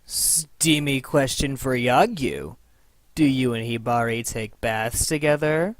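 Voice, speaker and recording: A man's voice: mid-range voice, expressive, slow pace, slight background noise. A man hosting a fan Q and A with scripted, playful, theatrical announcing. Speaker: host of a fan Q and A.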